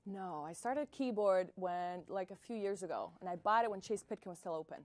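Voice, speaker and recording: A young woman from Bosnia, a singer-songwriter guest answering interview questions in a soft, hesitant way with many fillers, in a small music venue. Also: medium pitch, brisk.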